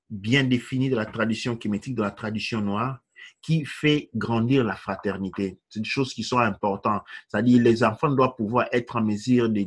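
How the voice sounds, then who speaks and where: A man teaches in a didactic lecturing style, hosting the discussion. His voice is husky and breathy. When he gets emphatic his pitch climbs, and he checks in with his listener with a quick "ok?". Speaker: teacher of tradition, host.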